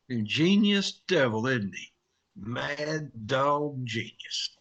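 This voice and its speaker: An actor playing a wry, scrappy, wisecracking newspaper reporter in a 1950s-style radio drama. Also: male voice, medium pitch, lively intonation, very slow, fairly bright tone, full character voice. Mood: wry, speculating. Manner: wisecracking, colloquial.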